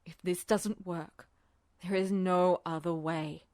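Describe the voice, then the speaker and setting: Audiobook role: woman playing a grave male officer, low, hushed, pleading, remorseful but resolute, recorded dry. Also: fairly even intonation, slow pace, dry recording. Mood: desperate, resolute.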